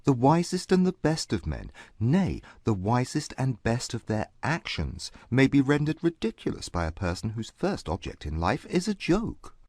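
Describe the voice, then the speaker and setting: A man playing a proud, aloof Regency gentleman in a dramatized audiobook, speaking formally and with measured haughtiness. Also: mid-range voice, wildly swooping pitch, no echo, clean studio sound. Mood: haughty, dry. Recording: music bed under the voice.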